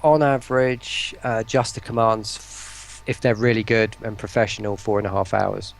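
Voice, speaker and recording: A man speaking as a guest in a casual livestream interview, with a halting, wry way of talking. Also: fairly low pitch, fairly even intonation, vocal fry. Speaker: guest voice pack producer.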